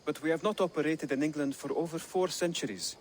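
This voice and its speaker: A man's voice: fairly high pitch, quick pace, slight background noise, natural voice. An actor playing an earnest young assassin in a video game, explaining his creed formally and solemnly. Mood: solemn, fervent. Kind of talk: dramatic video game cutscene acting.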